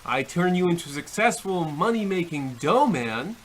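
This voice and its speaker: A man playing a wheedling, impatient devil who pitches deals like a salesman, in theatrical old-time radio comedy style, with a raspy voice. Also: big pitch swings, normal speed, a little hiss or hum. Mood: impatient, businesslike. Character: fast-talking devil pitching a soul deal, impatient salesman.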